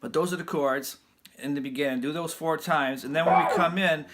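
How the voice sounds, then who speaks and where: A man with a fairly high voice giving a casual guitar lesson in a loose, rambling way. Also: steady tone, brisk, heavy background noise. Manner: loose, rambling, restarts often. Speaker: guitar teacher. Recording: guitar playing loud behind him.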